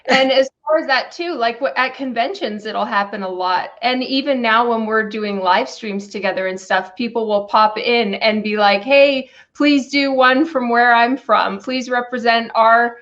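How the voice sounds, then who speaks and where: A woman comic artist in a casual interview, bubbly and quick to laugh. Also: fairly high pitch, fairly bright tone. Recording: dull lo-fi audio.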